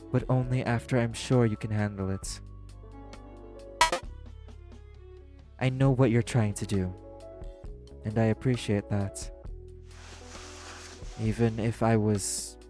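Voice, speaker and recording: A man's voice: mid-range voice, mellow, natural voice. A man acting in an audio roleplay as a cynical, world-weary socialite who runs a club. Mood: softened, earnest. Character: apathetic, cynical socialite club manager and parent.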